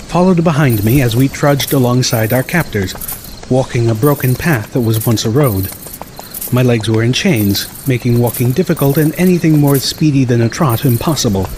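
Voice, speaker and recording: A man's voice: medium pitch, a little mellow, a little hiss or hum. A man reading a first-person story as an audiobook narrator.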